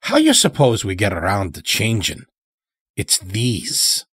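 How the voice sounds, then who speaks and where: Male narrator as old werewolf elder: folksy, sly, tired, husky, swooping delivery. Horror audio story. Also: lowish voice, huge pitch swings, unhurried, fairly bright tone, dry recording, studio quality, heavily acted. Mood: weary, coaxing. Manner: folksy, rustic, ungrammatical drawl.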